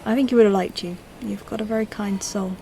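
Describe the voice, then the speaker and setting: A woman's voice: mid-range voice, fairly even intonation, fast, gravelly, dark tone, natural voice, poor audio. Sci-fi audio drama: reassuring female companion, actress, mid register, natural, polite, slight background noise. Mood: gentle, reassuring. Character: gentle, reassuring woman posing as an android.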